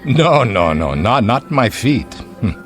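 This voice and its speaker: A frail, devoted old husband in a radio play. His pitch swings widely as he stumbles and repeats himself anxiously, with music underneath. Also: very animated. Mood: flustered, hesitant. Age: older. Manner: hesitant, halting, repeats himself. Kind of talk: radio drama acting.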